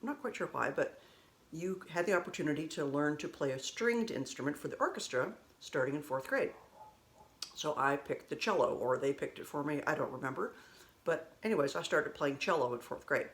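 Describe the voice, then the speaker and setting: A woman with a low voice telling rambling stories in a casual, chatty way, laughing now and then. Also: lively intonation, brisk. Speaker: vlogger. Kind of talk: casual storytelling.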